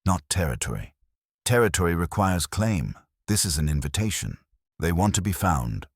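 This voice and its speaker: A man voicing an imperious, very deep-voiced detective. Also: very animated, moderate pace, slightly rough, no room sound, studio quality, full character voice. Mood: muttering, certain. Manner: pompous, formal, terse pronouncements. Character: brilliant, imperious male detective, scowling and cerebral.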